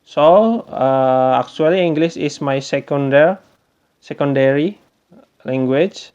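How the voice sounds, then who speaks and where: A man from Indonesia speaking English as a second language, commenting on a game walkthrough with many pauses while searching for words. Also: fairly high pitch, a little mellow, smooth, dull audio. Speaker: gameplay youtuber.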